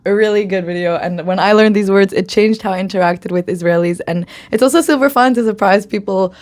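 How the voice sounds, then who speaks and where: A woman's voice: medium pitch, a little fast, a little mellow. Brief: upbeat woman host, casual lesson, easy mid-range voice, chatty with frequent laughs. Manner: drops in Hebrew phrases. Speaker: Hebrew slang teacher.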